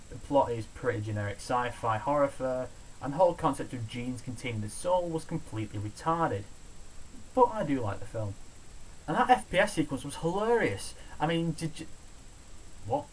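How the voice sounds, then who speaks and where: A man's voice: mid-range voice, rough recording. Sardonic man narrating a review, hissy recording. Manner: sardonic, opinionated, sweary. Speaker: video reviewer, host of a countdown show. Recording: steady background hiss, amateur home recording.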